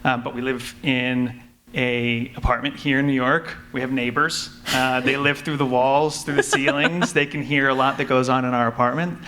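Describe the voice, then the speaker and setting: A man's voice: medium pitch, steady tone, normal speed, sharp tone. A man telling an anecdote as an audio drama maker on a live convention panel. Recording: faintly noisy convention room panel.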